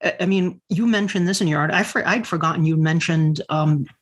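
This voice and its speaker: A man's voice: a little high, brisk. A man hosting a casual discussion, talking like an enthusiastic fan who goes into detail. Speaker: podcast host.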